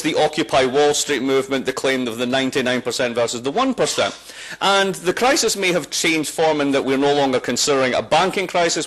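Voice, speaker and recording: A Scottish man in middle age lectures with dry humour and easy energy. He strolls around the hall instead of staying at the microphone. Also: medium pitch. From Scotland. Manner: lively, wry, conversational, walks around while talking. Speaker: guest lecturer. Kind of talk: academic lecture with jokes. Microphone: lectern microphone, moving away from it.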